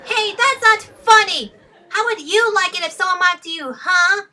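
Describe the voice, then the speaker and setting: Woman as bright, high-voiced plucky boy. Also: moderate pace, a faint crowd, slight background noise, heavily acted. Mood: indignant. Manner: eager, boyish delivery. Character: earnest, plucky young boy musician, quick to bristle. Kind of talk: fan audio drama acting.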